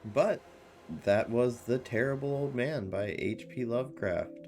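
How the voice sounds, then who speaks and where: A man's voice: medium pitch, measured pace, a little mellow. A man giving casual, informal game commentary, reacting offhand as he plays. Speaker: let's play commentator. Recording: music behind the voice.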